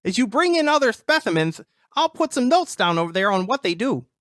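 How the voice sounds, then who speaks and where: A fussy young academic botanist from a zombie shooter game, acted by a woman. The pitch swings about in a very lively way, and the delivery is wordy and bookish with small stammers. The sound is close and dry. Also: a little fast, no room sound. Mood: instructive, hesitant. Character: eager, nerdy, fussy botanist doctoral student in a zombie shooter. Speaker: quest-giving game character. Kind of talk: scripted video game dialogue.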